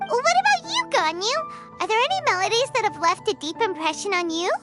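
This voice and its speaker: Game role: small cheeky fairy companion. Squeaky, extremely high, very animated. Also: female voice, a little fast. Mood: impressed. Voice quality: squeaky, childlike. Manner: exclamatory, cheeky, speaks of self in third person. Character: small excitable childlike floating fairy companion, cheeky and chatty. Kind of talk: video game character acting. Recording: music playing behind.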